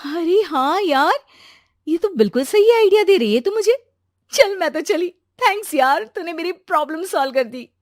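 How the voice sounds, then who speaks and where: Woman acting a high-pitched, bubbly young bride-to-be. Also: animated, moderate pace, no echo, clean studio sound. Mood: excited.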